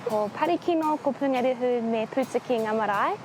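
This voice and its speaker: A woman with a Māori New Zealand English accent, giving a formal recital with soft fillers. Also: fairly high pitch, brisk, music in the background, rough recording. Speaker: contestant introducing herself.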